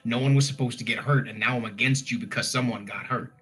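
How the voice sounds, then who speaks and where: Husky-voiced man in casual gamer chat. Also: medium pitch, fairly even intonation, fast talker, a bit bright, soft background music. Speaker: tabletop role-playing game player.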